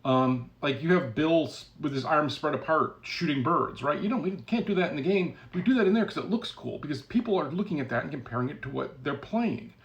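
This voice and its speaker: A man's voice: on the high side, lively intonation, fairly quick, creaky, hardly any pauses, lo-fi sound. A man speaking casually as a game developer, giving opinionated commentary in a blunt, sardonic, emphatic way.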